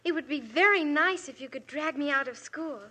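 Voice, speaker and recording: A woman's voice: high-pitched, a little fast, background noise, close to an everyday voice. A woman playing a polite, cheeky schoolgirl witness. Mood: earnest. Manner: polite, keeps adding "monsieur". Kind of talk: 1950s radio drama acting.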